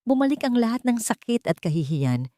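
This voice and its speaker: A woman's voice: fairly high pitch, sing-song, fairly quick, mellow, no room sound, studio quality. A woman with a Filipino accent reading a scripted, dramatic romance story as its narrator.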